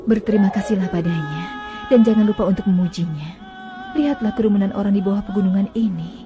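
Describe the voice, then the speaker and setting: A woman voicing a gentle mother camel. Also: mid-range voice, quick pace, highs cut off, natural voice. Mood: tender. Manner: soft, tender, soothing. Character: gentle blessed she-camel mother, calm and devout. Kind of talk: dubbed cartoon acting. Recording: music bed under dialogue.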